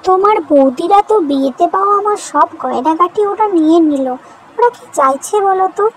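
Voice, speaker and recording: A woman playing a young village bride in an animated moral story, practical and wary, urging and chiding her husband. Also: very high voice, a little fast, slight background noise. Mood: worried, suspicious.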